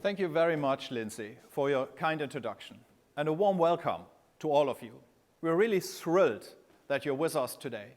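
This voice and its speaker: A man's voice: fairly high pitch, animated, normal speed. A man who is a company chief executive, giving a scripted speech with emphatic, stressed keywords. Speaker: CEO and guest speaker.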